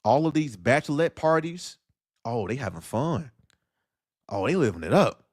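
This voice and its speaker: A man's voice: mid-range voice, very animated, moderate pace, no echo, studio quality. A man hosting a solo audio livestream, delivering a blunt, preachy monologue that fires off rhetorical questions. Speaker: livestream host.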